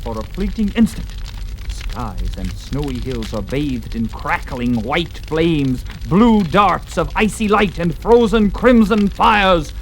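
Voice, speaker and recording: A man's voice: fairly high pitch, expressive, slow, a little hiss or hum, low-quality recording. A man giving dramatic, scripted fantasy narration in a theatrical, florid storytelling manner. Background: loud dramatic music.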